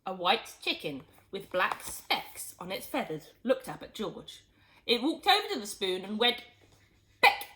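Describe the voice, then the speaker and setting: A woman reading a children's storybook aloud in expressive, scripted narration. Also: medium pitch, measured pace, poor audio. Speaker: storybook reader.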